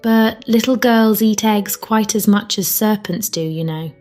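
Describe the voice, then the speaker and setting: A woman's voice: medium pitch, measured pace, darkish tone, soft background music, natural voice. Storybook little girl, polite and earnest, read by a woman. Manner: indignant, truthful.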